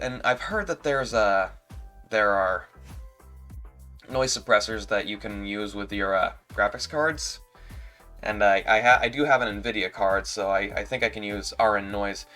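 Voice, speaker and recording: Guy streaming with a stuffed up nose, kinda low voice, keeps tripping over his words. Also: bright, forceful tone, soft background music. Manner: halting, stumbles and repeats words. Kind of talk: casual livestream chat.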